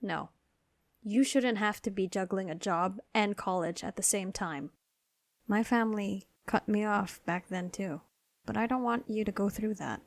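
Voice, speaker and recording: A supportive aunt acted by a woman in a motion comic, with a mid-pitched voice. She speaks in a reassuring, insistent, protective way, recorded dry with no room sound. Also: unhurried, no room sound, natural voice.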